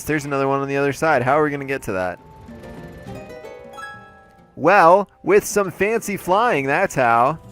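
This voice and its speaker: Male let's play host, mid-pitched, congested and playful, over soft game music. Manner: playful, chatty, jokey asides. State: congested, throat a little off. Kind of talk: casual gameplay commentary.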